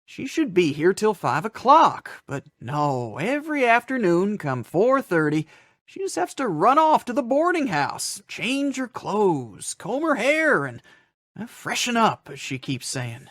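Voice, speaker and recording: An actor playing a fretful, folksy old frontier storekeeper in a radio western, hesitant and fussing, with rustic diction. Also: male voice, sits high, wildly swooping pitch, measured pace, a bit bright, no echo, clean studio sound, full character voice. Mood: fretful, grumbling.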